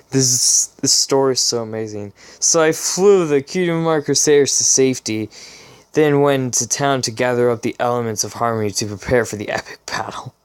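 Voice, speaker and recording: A man's voice: mid-range voice, smooth. A man reading a story aloud as a channel host, in an amused dramatic style with giggly asides of commentary.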